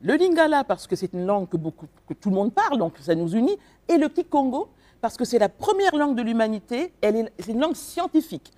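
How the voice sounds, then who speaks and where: Congolese woman guest on a radio show, mellow voice, goes up and down a lot, earnest, lots of ums. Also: a little high, sing-song, fast. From Republic of the Congo. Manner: earnest, emphatic, hesitant with many fillers. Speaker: author, guest. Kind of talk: radio interview.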